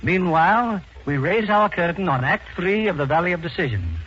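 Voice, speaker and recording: A man's voice: high voice, very animated, moderate pace, a bit bright. A man hosting a scripted 1940s radio program in a formal, polished manner. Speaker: host. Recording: muffled, noisy 1940s radio recording.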